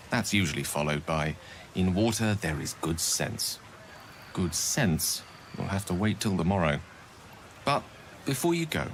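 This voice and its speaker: A man's voice: low voice, fairly bright tone, a little hiss or hum. A man voicing a courteous, bookish wizard in a fantasy game, musing in a wry, florid way. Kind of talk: video game dramatic acting.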